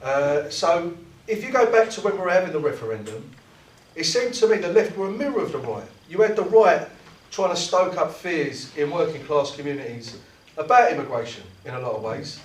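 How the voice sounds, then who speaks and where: A man delivers a fervent political speech, often stumbling and repeating words as he pushes his point. His voice sits a little high for a man. It is captured from a distance in a roomy meeting hall. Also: lively intonation, a little fast. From South East London. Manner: impassioned, restarts and repeats words. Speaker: trade union activist speaker. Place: meeting room.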